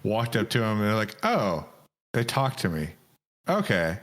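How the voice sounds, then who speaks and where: A man's voice: lowish voice, huge pitch swings, moderate pace, a bit bright. A man co-hosting a podcast in casual gaming chat, self-effacing and swearing casually. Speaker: podcast co-host.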